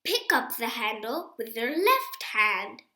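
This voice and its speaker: Woman reader as male guide-dog trainer in a storybook: instructive, emphatic, high and unhurried. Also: very animated, sharp tone, reverberant, full character voice.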